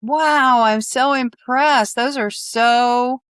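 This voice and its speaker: A woman's voice: a little high, drawn-out words, fairly bright tone, clear, resonant tone, no room sound. Woman hosting an interview, close dry sound. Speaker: interview host. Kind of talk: friendly conversational interview.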